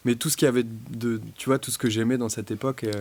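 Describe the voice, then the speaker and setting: A young French man, a guest musician, talking casually and reflectively, with many pauses, fillers and restarts. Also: medium pitch, flat delivery, darkish tone. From France. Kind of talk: casual podcast conversation.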